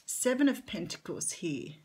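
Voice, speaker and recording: Australian woman, rather low and husky, croaky in the morning, rambling tarot-reader chat. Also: very animated, measured pace. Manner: chatty, warm-hearted, rambling with many ums. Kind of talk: casual tarot reading vlog.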